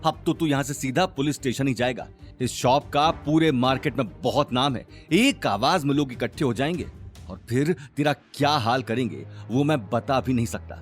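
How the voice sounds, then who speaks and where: A man's voice: mid-range voice, moderate pace, faint music behind, natural voice. Man acting a sneering, bullying shop salesman. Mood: threatening. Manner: sneering, taunting, threatening. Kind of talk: Hindi audio drama acting.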